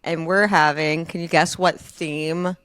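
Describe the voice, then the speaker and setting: A woman's voice: sits low, fairly even intonation, a little slow, fairly bright tone, clear, resonant tone, dry recording. A woman in her early thirties co-hosting a podcast, telling personal stories in a casual, self-deprecating way. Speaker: podcast co-host. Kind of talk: casual chat, personal storytelling.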